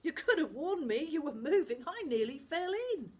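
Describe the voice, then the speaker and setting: A woman's voice: high voice, lively intonation, moderate pace, noisy background, voice pushed up. A woman voicing a cautious, anxious boy with a raised, complaining voice. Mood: alarmed.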